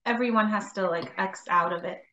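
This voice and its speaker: A woman moderating an online class, giving relaxed, helpful instructions to the participants. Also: medium pitch, a little fast, highs cut off. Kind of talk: casual instructions. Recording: roomy call audio, faint noise.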